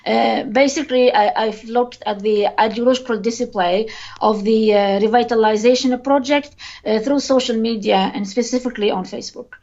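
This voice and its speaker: A woman, a linguist speaking as a guest in a podcast interview, hesitant and halting as she searches for words. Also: fairly high pitch, steady tone, darkish tone. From Tunisia. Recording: dull lo-fi recording.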